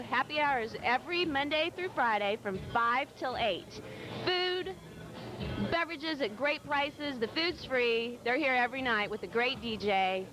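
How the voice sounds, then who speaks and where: A woman's voice: high-pitched, background noise. A woman hosting a local TV show on location, chatty, playful and teasing her guests. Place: busy downtown bar with live music. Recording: loud bar music behind.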